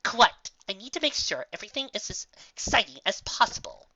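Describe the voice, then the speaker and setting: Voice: mid-range voice, big pitch swings, brisk, sharp tone, dry recording, lo-fi sound, pitched above the performer's own voice, heavily acted. A narrator voicing a showy, self-assured female pony stage performer in a cartoon story, with an imperious, theatrical manner.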